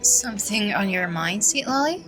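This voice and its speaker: A woman's voice: medium pitch, lively intonation, normal speed. A woman doing casual gameplay commentary and reading dialogue aloud, playful and reactive. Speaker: gamer doing a playthrough. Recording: game music behind the voice.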